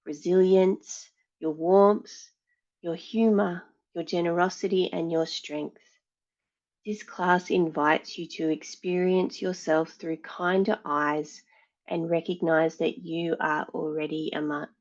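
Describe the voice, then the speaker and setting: A woman teaches yoga in a fairly low voice at a very slow, measured pace. She gives soothing meditation instructions with pauses between phrases. The recording sounds slightly dull and muffled. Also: darkish tone.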